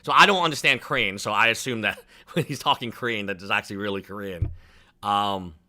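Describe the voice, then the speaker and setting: A man's voice: on the low side, fairly quick, bright, forceful tone. A man in his thirties whose first language is Chinese, hosting a casual reaction stream and rambling with many "like" fillers. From China. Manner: rambling, heavy use of "like" fillers. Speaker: livestream reaction host.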